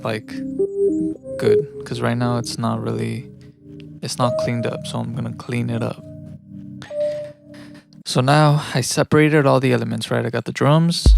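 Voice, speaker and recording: A man's voice: medium pitch, gravelly. A man from Mexico living in the United States chats casually and thinks aloud in a rambling, self-reflective way while producing music on a livestream. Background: electronic music.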